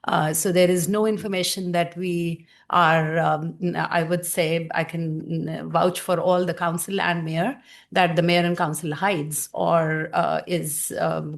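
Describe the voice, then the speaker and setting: Halting woman addressing the council. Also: lowish voice, gravelly. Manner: halting, many fillers and restarts. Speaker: council member. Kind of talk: formal remarks at a public meeting.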